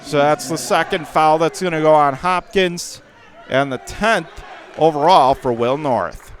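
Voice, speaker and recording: An American man calling live basketball play-by-play for radio in clipped phrases that rise with the action, from a high school gym. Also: unhurried, some chatter behind. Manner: rattles off clipped phrases, rising to excited calls on plays. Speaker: play-by-play commentator. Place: indoor high school gymnasium.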